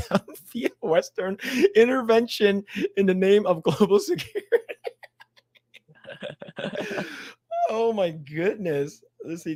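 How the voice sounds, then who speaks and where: A man hosting a casual podcast, reading an article aloud and chatting with many restarts and fillers. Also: on the high side. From Chinese background. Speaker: podcast host.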